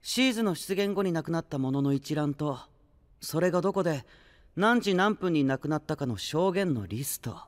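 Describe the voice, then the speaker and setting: Man acting cool, clever young knight, lighter-pitched. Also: animated, moderate pace, no echo, natural voice. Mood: confident, explaining. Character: calm, clever young male knight, teasing and confident strategist. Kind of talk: Japanese anime-style voice drama acting.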